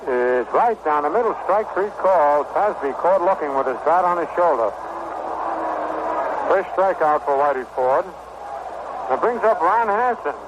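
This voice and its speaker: Male American baseball announcer, 1960s radio, slightly high voice, measured short calls, dull broadcast sound under heavy noise. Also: expressive. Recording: noisy, muffled vintage 1960 radio broadcast.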